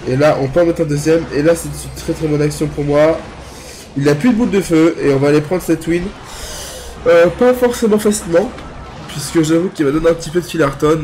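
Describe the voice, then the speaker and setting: A man's voice: a little high, a little mellow. A young man, congested from a cold so he sounds nasal, casually commentating his own mobile gameplay as he thinks aloud. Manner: chatty, thinks aloud while playing. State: congested, talking through the nose from a cold. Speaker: gaming youtuber.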